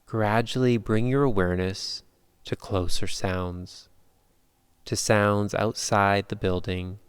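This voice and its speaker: A man guides a meditation in a lowish, smooth voice. He speaks very slowly and calmly, leaving long pauses between measured instructions. The sound is dry and close. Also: no room sound. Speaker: yoga nidra meditation guide.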